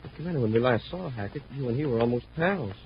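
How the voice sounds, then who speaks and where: Brief: man as eager young cadet, quick and bouncy delivery, hissy vintage radio drama. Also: medium pitch, animated, lightly acted. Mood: earnest, puzzled. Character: excitable, loyal young male space cadet. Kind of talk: 1950s radio drama acting. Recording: muffled, noisy vintage radio recording.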